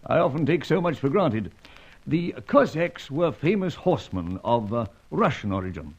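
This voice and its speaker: An actor playing an excitable British spymaster in a radio serial, hearty and sputtering with a British accent, on a dull old recording. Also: male voice, medium pitch, moderate pace, slightly rough. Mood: hearty, explaining.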